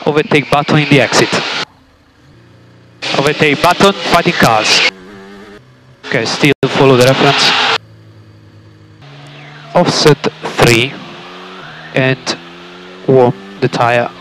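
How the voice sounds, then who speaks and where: A man's voice: medium pitch, takes it slowly, long pauses. Guy as race engineer on team radio, short clipped calls, repeats stuff, car noise all over it. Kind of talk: terse team radio instructions.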